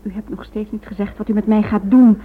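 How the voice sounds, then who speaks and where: A woman's voice: medium pitch, steady tone, brisk, lightly acted. A woman playing a frightened, protective mother held hostage in a radio play, anxious and questioning. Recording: thin narrow-band old radio drama recording.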